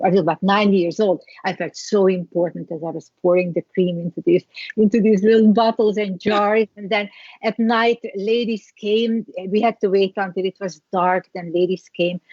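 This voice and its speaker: A middle-aged woman with a Hungarian accent, a guest recounting her past in an interview, reflective and halting with many restarts. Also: medium pitch, darkish tone, husky, no echo, highs cut off. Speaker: guest, skincare founder. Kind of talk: interview storytelling.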